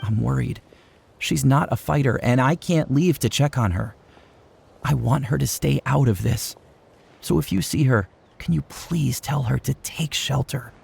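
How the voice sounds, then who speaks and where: A young male warrior from a video game, earnest and subdued. His mid-pitched voice is heavy with gravelly fry, over faint ambient noise. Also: measured pace, a little mellow. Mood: worried. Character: worried young male warrior, devoted son, earnest and dutiful.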